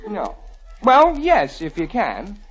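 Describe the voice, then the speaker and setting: An actor playing an earnest, exasperated American football player tutoring a teammate in a vintage comedy. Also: male voice, high voice, huge pitch swings, slow, faint music behind, full character voice. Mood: patient. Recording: muffled old broadcast, studio audience.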